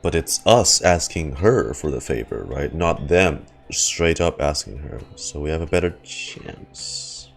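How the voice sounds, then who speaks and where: A woman's voice: extremely low pitch, animated, a little slow, creaky, few pauses. A woman streamer giving casual, sarcastic, sweary live commentary on a game she is playing. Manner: sarcastic, sweary, exasperated banter. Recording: game music faintly behind.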